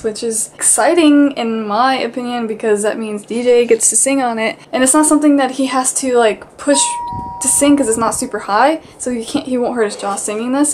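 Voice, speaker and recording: A woman's voice: fairly high pitch, slight background noise. A woman vlogger talking casually, as if to viewers of her daily vlog.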